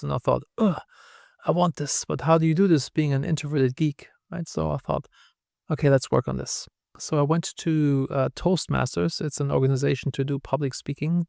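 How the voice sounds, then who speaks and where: A man's voice: on the high side, creaky, darkish tone, dry recording, clean studio sound. A man with a German accent, a podcast guest telling candid, self-deprecating stories. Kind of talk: casual interview talk.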